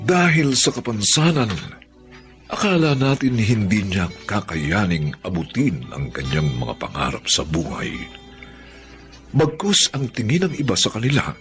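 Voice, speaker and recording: A man's voice: on the low side, big pitch swings, a little slow. A Filipino man hosting a radio drama with solemn, theatrical scripted narration. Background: soft music.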